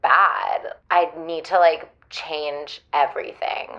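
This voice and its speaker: An earnest, self-loathing young woman calls in to a radio sitcom. She speaks haltingly, in a slightly low voice, over a dull, band-limited line. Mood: earnest, despairing. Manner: hesitant, filler-heavy, halting sincerity. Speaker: radio show caller. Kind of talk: comedic radio-sitcom acting.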